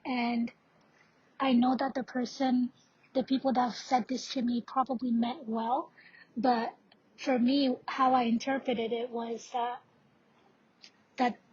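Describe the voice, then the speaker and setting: A young woman in her twenties, a student mentor speaking earnestly in a podcast discussion. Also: high voice. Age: young adult. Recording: dull lo-fi audio, faint noise.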